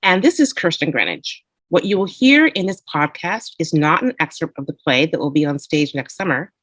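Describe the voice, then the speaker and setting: Deep-voiced woman, dry close sound. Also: sing-song, normal speed, no echo. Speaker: playwright. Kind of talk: scripted podcast introduction.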